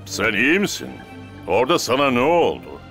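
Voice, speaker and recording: An actor playing a serious, authoritative football coach in a cartoon, giving firm and deliberate instructions. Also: male voice, mid-range voice, huge pitch swings, a bit bright, faint music behind. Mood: serious, concerned. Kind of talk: dubbed children's cartoon dialogue.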